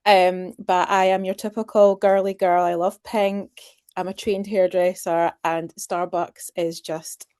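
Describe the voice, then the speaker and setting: A woman's voice: lowish voice, flat delivery, a little slow, a little mellow, clear, resonant tone, no echo, studio quality. A Scottish woman in her thirties, chatting casually as an interview guest. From Scotland.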